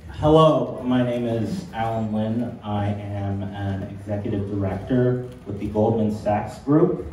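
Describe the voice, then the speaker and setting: A man's voice: lowish voice, unhurried, mellow. A man introducing himself at a gala with a joking remark. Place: gala event hall. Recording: very echoey, distant, noisy.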